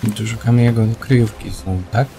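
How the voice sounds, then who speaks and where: A man's voice: mid-range voice, steady tone, fast talker, warm tone, slight background noise. A Polish man casually chatting as he plays a co-op video game, thinking aloud in a laid-back way. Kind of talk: casual co-op gameplay chat.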